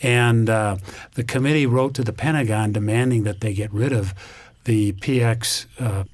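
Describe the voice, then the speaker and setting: A man's voice: lowish voice, fairly even intonation, moderate pace, dry recording. A man speaking as a guest on a radio talk show, explaining things earnestly. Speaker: interview guest. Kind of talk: conversational radio interview.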